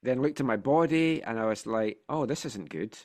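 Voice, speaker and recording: A Scottish man hosting a football podcast chat, his pitch swinging up and down as he tells a story. He sounds a bit congested from a sinus infection. He talks in a chatty, playful way, full of asides. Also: lively intonation, moderate pace. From Scotland. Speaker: podcast host.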